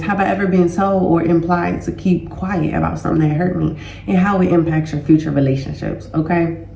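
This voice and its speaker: Stuffy-voiced woman with a gravelly edge. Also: deep voice, mellow, highs cut off, slight background noise. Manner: conversational, loose, addresses listeners as y'all. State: stuffy nose and sore throat. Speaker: healing educator and host. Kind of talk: casual educational talk to viewers. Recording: roomy echo.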